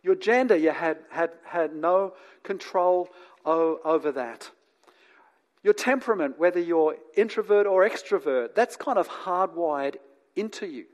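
A man from England who grew up in New Zealand and lives in Australia, preaching in a conversational, teacherly way with asides to his listeners and deliberate pauses. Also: high-pitched, animated. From born in England, grew up in New Zealand, lives in Australia. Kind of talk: conversational sermon to an audience.

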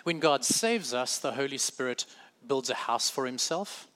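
A man with a South African accent preaches in a bright, forward voice. He keeps it conversational, catching and fixing his own wording as he goes and slipping in easygoing asides. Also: mid-range voice, animated, a little slow. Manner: conversational, self-correcting, with light humorous asides. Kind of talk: sermon.

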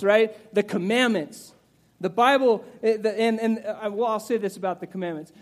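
A man in his forties preaching a sermon in a conversational, animated way, with asides to the listeners and some restarts. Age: 40s. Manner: animated, conversational preaching with rises in pitch and volume. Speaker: pastor.

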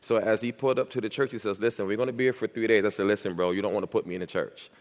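Ordination candidate, man in his thirties, low voice, slight stutter, emphatic and repetitive delivery, long church echo. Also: steady tone, very fast. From Miami, United States. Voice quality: speech impediment, stutters when nervous. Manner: earnest, repetitive, emphatic storytelling. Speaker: youth pastor being ordained. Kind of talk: personal testimony and doctrinal answers in church. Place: church sanctuary. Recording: reverberant church hall.

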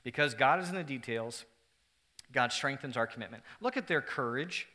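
A man preaching a sermon to his congregation, moving between loud, emphatic stretches and hushed, earnest ones, with joking asides to the room. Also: medium pitch, animated, moderate pace. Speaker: pastor.

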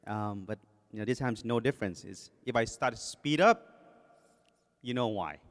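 A man's voice: medium pitch, normal speed. Thai-accented man preaching in a reverberant hall. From Thailand. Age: 40s. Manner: self-deprecating, joking, with many fillers. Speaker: guest preacher. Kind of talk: sermon introduction. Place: church sanctuary. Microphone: podium microphone. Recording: long reverb of a church hall.

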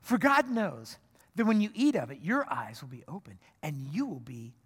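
A pastor voicing the crafty serpent in a low, quiet, insinuating tone. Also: sing-song, moderate pace, husky. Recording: long reverb of a large hall.

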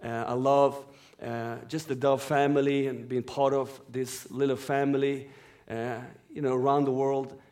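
A man's voice: medium pitch, level tone, unhurried, a little mellow. A man born in Iran and raised in Sweden, whose first language is Farsi, preaching a sermon as a guest speaker and reading scripture in an earnest, flowing way.

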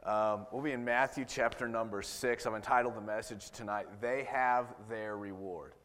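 A man in his thirties with a rough voice, preaching a sermon and reading scripture, talking conversationally with frequent ums and restarts. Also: on the low side, normal speed, fairly bright tone, long reverb tail. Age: 30s.

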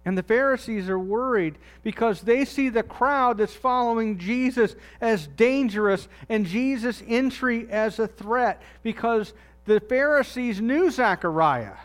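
A man preaching a sermon in a conversational way, dropping low and soft on key phrases. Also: measured pace. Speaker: pastor.

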